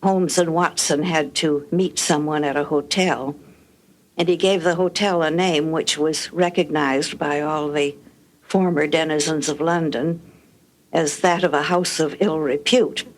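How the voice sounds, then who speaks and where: An older woman with a low voice, reminiscing about radio production in a measured, articulate, dryly humorous way. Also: fairly even intonation, poor audio. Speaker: guest recalling radio production history. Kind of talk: reminiscing anecdotes.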